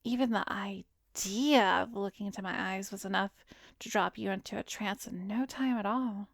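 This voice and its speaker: A woman playing an arrogant, seductive vampiress who hypnotizes in an ASMR roleplay, breathy and commanding, often slipping into a whisper. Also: medium pitch, moderate pace, no room sound, studio quality, lightly acted. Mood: smug, gloating.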